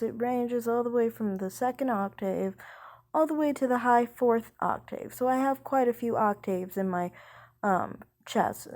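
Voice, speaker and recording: A woman's voice: on the high side, a little mellow. A young woman who sings, talking casually about her voice type in a rambling, hesitant way with many fillers. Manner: speaks slowly. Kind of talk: casual vlog chat.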